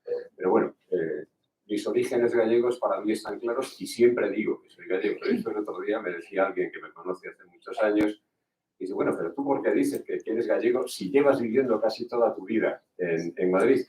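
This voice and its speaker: An older man from Galicia talks in a reflective, conversational way, pausing and restarting as he thinks. His voice sits fairly low. The sound is roomy, picked up from a distance in a hall. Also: darkish tone. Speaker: poet speaking at a tribute. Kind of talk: off-the-cuff remarks. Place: lecture hall. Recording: echoey hall, distant mic.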